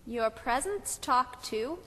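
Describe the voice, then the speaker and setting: A woman's voice: fairly high pitch, expressive, slow pace, sharp tone, in a large hall, exaggerated voice. A woman playing an effusive, prim children's teacher in a Christmas puppet show. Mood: indulgent. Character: gushing, chatty female church children's teacher.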